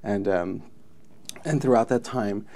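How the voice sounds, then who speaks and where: A man's voice: fairly low pitch, a little slow, dark tone. A man in his thirties from Mexico, a native Spanish speaker, answering interview questions in an earnest, reflective way. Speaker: film commission president. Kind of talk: interview guest.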